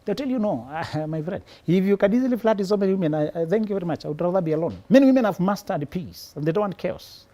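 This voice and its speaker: A male psychologist from Kenya gives relationship advice on a live show. His pitch swings widely as he talks. He sounds preachy and playful, often breaking into laughter. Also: animated, quick pace, few pauses, dark tone. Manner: preachy, laughs often, teasing punchlines, repeats words. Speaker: psychologist guest.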